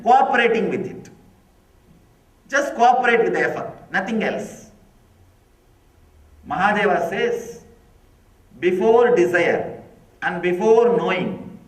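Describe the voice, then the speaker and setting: A middle-aged man with Tamil as his first language, giving a spiritual discourse slowly and emphatically, repeating key phrases. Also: high-pitched. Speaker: spiritual teacher. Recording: echoey hall from far off.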